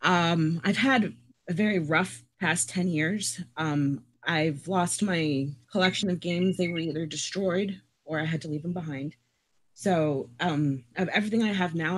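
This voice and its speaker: Earnest, halting woman. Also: deep voice, rough recording. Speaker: podcast guest panelist. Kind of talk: personal storytelling.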